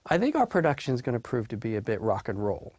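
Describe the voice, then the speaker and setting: A man's voice: medium pitch, wildly swooping pitch, fast, darkish tone, dry recording. A man from a production team giving explanatory interview talk.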